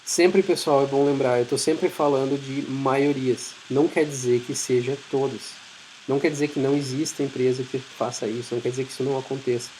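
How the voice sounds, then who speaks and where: A man's voice: medium pitch, fairly even intonation, fairly quick, darkish tone, a little hiss or hum, rough recording. A man with a Brazilian Portuguese accent giving an informal, explanatory talk in a conversational way. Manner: conversational, explanatory, acts out short imagined dialogues. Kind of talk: informal presentation.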